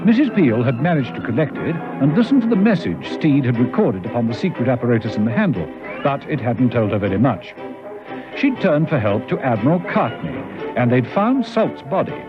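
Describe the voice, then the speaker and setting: A man as a suspense serial storyteller. He reads scripted narration with lively, dramatic intonation at a middling pitch. Music plays behind, and the vintage radio recording is slightly dull. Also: fairly quick, natural voice. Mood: suspenseful.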